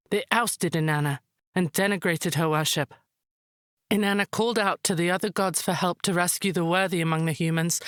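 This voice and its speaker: A woman playing a calm, wise high priestess who tells myths in a measured, solemn way, as in a visual novel. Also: no room sound, studio quality, close to an everyday voice.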